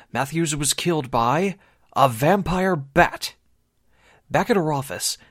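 A man's voice: mid-range voice, a bit bright, dry recording, clean studio sound. A man announcing and narrating a 1940s-style radio drama in a formal, dramatic, scripted manner.

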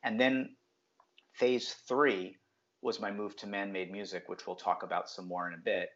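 Low-voiced man, dull lo-fi audio. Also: level tone, moderate pace, a bit bright. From New Jersey, USA. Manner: reflective, frequent um fillers. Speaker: guest, brand strategist. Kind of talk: casual interview talk.

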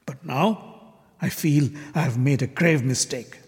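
A man's voice: medium pitch, a little slow, gravelly. A man voicing a furious, arrogant king who hurls scornful insults in a retold legend. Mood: indignant. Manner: scornful, ranting accusations. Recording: long hall reverb.

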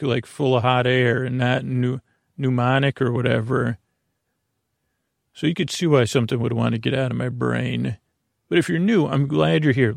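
Male American bedtime podcast host, creaky, fry-heavy voice at medium pitch, soothing, rambling monologue full of stumbles, very dry recording. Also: dry recording. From Long Island, US. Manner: meandering, tangential, stumbling and mashing words together; lulling, soothing delivery.

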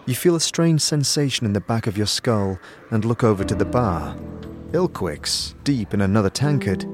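A man's voice: on the low side, animated, moderate pace. A man giving scripted second-person narration as the storyteller of a fantasy audio drama. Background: prominent background music.

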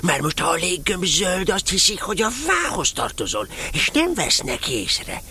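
Naive, self-important small toy bear, bright, forceful voice, hesitant and dignified, children's radio play, noisy ambience behind. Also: medium pitch, sing-song. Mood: solemn, confiding. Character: naive, pompous little honey-loving toy bear.

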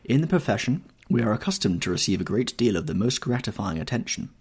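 A man's voice: fairly low pitch, big pitch swings, fast, a little mellow, husky, voice pushed up, heavily acted. A man voicing a vain, affected older mother, a former actress, in a stately, genteel, theatrical manner for an audiobook. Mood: complacent, genteel. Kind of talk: audiobook character dialogue.

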